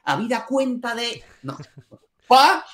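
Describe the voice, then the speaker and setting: A man's voice: extremely high pitch, very animated, slow, bright, forceful tone. Frank Spanish-accented man explaining. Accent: Spanish from Spain. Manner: frank, colloquial, explains with asides and mild swearing. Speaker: CFA trainer and academy director. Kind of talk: interview answers, explanatory.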